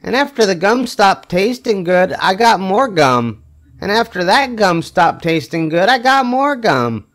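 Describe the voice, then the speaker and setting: An older man reading a children's picture book aloud expressively, with emphatic phrasing and drawn-out wry punchlines. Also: high voice, big pitch swings, a bit bright. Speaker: storybook reader.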